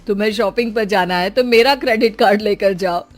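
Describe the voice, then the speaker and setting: A woman's voice: mid-range voice, fairly quick, faint music behind, lightly acted. A woman voicing a rich young businessman husband, teasing and dismissive, in a moral story. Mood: mocking.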